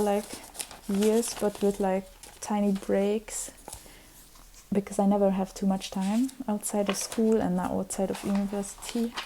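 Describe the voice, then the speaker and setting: A young woman, a native Hungarian speaker, talks casually to her vlog audience in a rambling way, full of fillers and restarts. Her tone is very dark and mellow. Her pitch sits at an ordinary middle height. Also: a little hiss or hum, rough recording. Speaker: vlogger. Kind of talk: casual chat.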